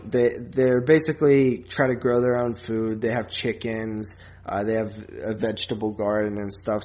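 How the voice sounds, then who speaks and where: A man co-hosting a podcast, talking casually about films in a rambling, halting way. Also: mid-range voice. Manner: rambling, halting, filler-heavy. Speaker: podcast co-host. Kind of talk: casual movie discussion.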